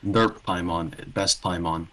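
A man's voice: low voice, fairly even intonation, takes it slowly, bright, forceful tone. A man chatting casually as a co-host, chiming in to correct and clarify. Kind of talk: casual chat.

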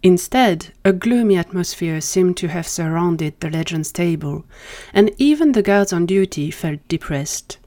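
A woman's voice: on the low side, animated, measured pace, hardly any pauses, mellow. A woman reading scripted fiction as a storytelling narrator. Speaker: narrator of fan fiction audio drama.

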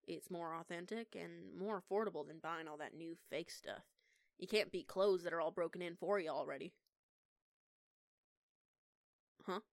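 A woman's voice: on the low side, no echo, close to an everyday voice. A young woman playing a defiant eighteen-year-old punk lone-wolf werewolf in an audio roleplay, with a casual, sweary, sarcastic manner. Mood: chatty, self-assured. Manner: sweary, casual, sarcastic, halting when flustered. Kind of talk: intimate one-sided audio roleplay acting.

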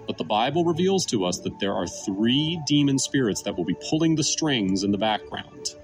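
A man giving scripted Bible teaching in an emphatic, deliberate way. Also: mid-range voice, lively intonation. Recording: music behind the voice. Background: background music.

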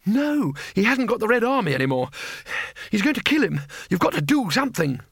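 Here is a timid little old man in spectacles, speaking high and hesitantly, with a stammer that keeps breaking in, as a man voices him. His voice is lively and up and down, and it is recorded dry and close. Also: fairly quick, gravelly, slightly rough, no echo, full character voice. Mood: urgent.

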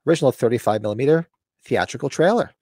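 A man's voice: medium pitch, lively intonation, very fast, darkish tone, dry recording, studio quality. A man co-hosting a casual podcast about horror collectibles, his voice scratchy and fading as he rambles. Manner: rambling, upbeat, clears his throat. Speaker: podcast co-host.

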